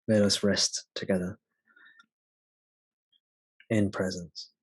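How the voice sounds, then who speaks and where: Low-pitched, husky male meditation guide. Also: little pitch movement, dry recording, poor audio. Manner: gentle, unhurried, long pauses. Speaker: spiritual teacher leading satsang.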